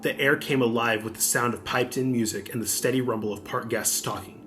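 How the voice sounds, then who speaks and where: A man's voice: mid-range voice, steady tone, quick pace, noisy background. A man narrating a scripted adventure audiobook as the storyteller.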